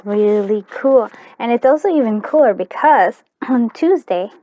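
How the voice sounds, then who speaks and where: A woman hosting a casual tarot card reading for viewers, chatty and informal, often pausing to think aloud. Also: a little high, lively intonation, phone-quality sound. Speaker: tarot card reader and channel host.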